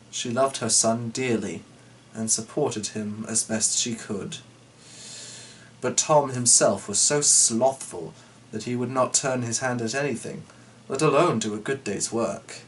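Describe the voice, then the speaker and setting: A man giving a dramatic storybook reading, breaking off into chatty, irreverent, sweary asides. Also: medium pitch. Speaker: storyteller reading a folk tale aloud. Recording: distant mic with faint background noise.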